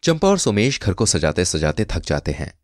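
Male story narrator, lowish pitch, very lively intonation, dry close sound. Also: moderate pace, no echo. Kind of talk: scripted Hindi storytelling narration.

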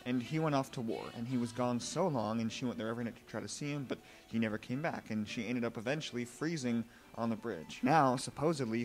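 A young American man talks through his travel vlog with upbeat, joking narration and dry asides. He speaks at an ordinary pitch into a handheld mic. Clear background music plays under his voice. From United States. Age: young adult. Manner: upbeat, jokey, deadpan asides. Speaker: travel vlogger. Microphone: handheld mic held at chest. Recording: voice-over with music bed.

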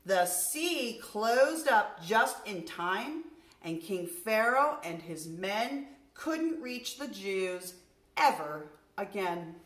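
A middle-aged woman, a nursery school teacher, reading a story aloud to young children in an expressive, deliberate way. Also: medium pitch. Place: furnished room at home, indoors. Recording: roomy indoor echo.

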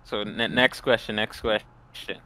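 A man's voice: on the low side, fairly even intonation, measured pace, bright, forceful tone. A young man hosting a casual speed-date game on a video call, teasing and repeating his fillers. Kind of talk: casual chat on a group call.

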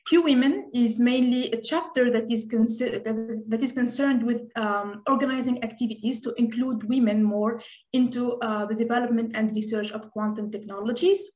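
A woman with a Tunisian accent and a fairly high voice presents on a video call. She explains things in a measured, hesitant way, and the room echoes clearly around her. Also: fairly even intonation, a little mellow. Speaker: presenter, community representative. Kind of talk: online presentation. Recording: roomy video call sound.

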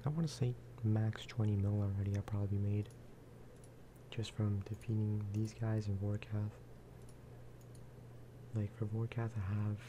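A man giving casual, rambling ASMR game commentary, speaking softly and often slipping into whispers. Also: lowish voice, background noise. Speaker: gaming video host.

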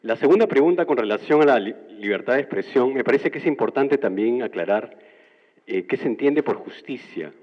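A man's voice: medium pitch, expressive, fairly quick. A man from Peru with a Peruvian accent, standing in the audience and asking a long, articulate, academic question. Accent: Peruvian Spanish. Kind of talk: formal question from the floor. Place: university classroom. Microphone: handheld mic, standing. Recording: muffled, roomy lecture hall.

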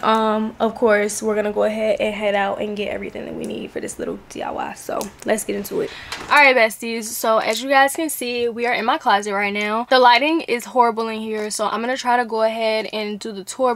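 Young american girl vlogger, kinda high voice, slangy casual chat like talking to her besties. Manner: slangy, familiar, addresses viewers as besties and girl. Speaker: lifestyle vlogger. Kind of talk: casual chatty vlog talk to viewers.

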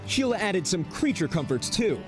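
A man's voice: high voice, sing-song, normal speed, over music. A young adult man working as a news correspondent, giving scripted narration and reporting on location in an upbeat way.

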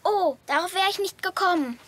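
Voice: extremely high pitch, brisk, a little hiss or hum. A performer voicing a playful, eager little girl in a German-dubbed children's cartoon, with a childlike manner. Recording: very loud music behind.

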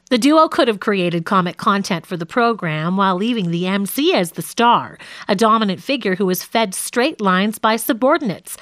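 A woman reading a scripted nonfiction audiobook in a measured, articulate way. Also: mid-range voice, fairly bright tone, no room sound, studio quality. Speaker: audiobook narrator.

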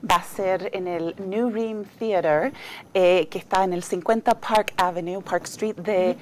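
A middle-aged Chilean woman with a Chilean Spanish accent, a flutist guest on a live TV morning show, bubbly and halting with fillers, on a lapel mic in a news studio. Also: fairly low pitch, fairly even intonation, slight background noise. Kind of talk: live TV interview.

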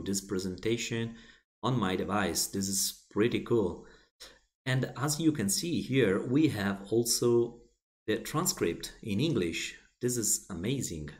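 A man whose first language is Italian, walking through a software feature step by step in a plain explaining manner with frequent restarts. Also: lowish voice. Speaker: software tutorial presenter. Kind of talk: screen-recorded software walkthrough.

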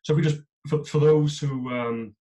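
A man's voice: a little high, fairly even intonation, normal speed, mellow, slight background noise. A man in his thirties with a South Welsh accent, presenting on a video call from a small room, talking loosely with many fillers and restarts. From South Wales. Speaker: head of physical performance, guest speaker. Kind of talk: webinar presentation. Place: small room at home, indoors. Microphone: video call on a laptop.

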